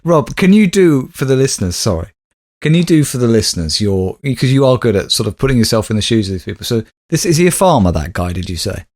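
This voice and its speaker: A man's voice: mid-range voice, very animated, quick pace, darkish tone. A man co-hosting a comic podcast, teasing his partner and pushing listeners for reviews in a wry manner. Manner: wry, teasing, assertive sign-off. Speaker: podcast co-host. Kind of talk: comedic podcast chat.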